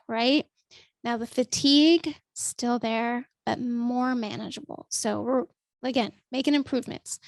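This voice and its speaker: A woman vlogger with a naturally high voice that is still a little strained after illness, chatting casually to her viewers with lots of ums and calling them folks. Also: dry recording. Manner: chatty, many ums, calls listeners folks. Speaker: vlogger and writer. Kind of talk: casual talk to camera.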